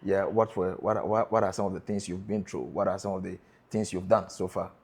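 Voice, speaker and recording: A man's voice: sits low, little pitch movement, a little fast, a little mellow, breathy edge. A man hosting a street-interview show, introducing it and asking questions with emphatic, repeated phrasing. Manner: emphatic, repeats lines for effect. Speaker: host and interviewer.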